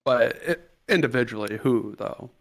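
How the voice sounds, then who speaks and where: A man playing a trial lawyer in improvised roleplay, questioning in a halting, repetitive, insistent way. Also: medium pitch, lightly acted. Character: pushy, rambling male trial lawyer.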